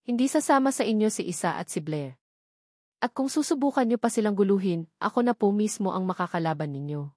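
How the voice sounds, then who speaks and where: A woman's voice: mid-range voice, brisk, dry recording, clean studio sound, natural voice. A woman with a Filipino accent voicing an earnest, protective young father in a romance story. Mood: calm, firm. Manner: calm but authoritative.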